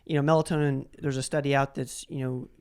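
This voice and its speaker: A man's voice: mid-range voice, little pitch movement, fast talker, a little mellow, smooth. A man hosting a casual conversational interview, enthusiastic and rambling, often repeating his words. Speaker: podcast host and interviewer.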